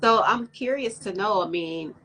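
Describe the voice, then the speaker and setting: A woman's voice: mid-range voice, moderate pace, bright, forceful tone, slight background noise. Upbeat woman hosting a live podcast. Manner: upbeat, welcoming, rambling questions. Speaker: podcast host. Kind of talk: livestream podcast interview.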